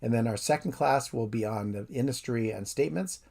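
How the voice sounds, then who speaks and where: Man giving a steady course introduction. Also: mid-range voice, fairly quick, vocal fry. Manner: steady, methodical explainer. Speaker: professor. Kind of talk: recorded course intro lecture.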